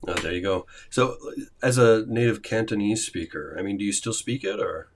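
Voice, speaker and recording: A man's voice: on the low side, moderate pace, a little hiss or hum. A man hosting a language-learning livestream, chatting casually with many fillers and restarts.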